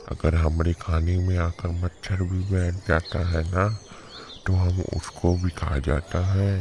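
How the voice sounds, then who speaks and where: A man voicing a proud, grumpy, penny-pinching villager in an animated fable, blunt and boastful with rustic speech. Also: low-pitched. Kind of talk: animated moral-story acting. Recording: clearly noisy background.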